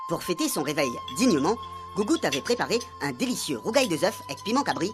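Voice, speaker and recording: A woman's voice: lowish voice, sing-song, fast talker. Woman narrating a radio serial over music. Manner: mock-literary, storytelling.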